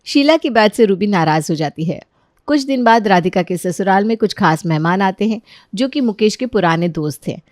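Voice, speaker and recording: A woman tells a scripted story as its narrator. Her pitch sits in the middle of the female range, and the sound is close and free of room echo. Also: animated, normal speed, darkish tone.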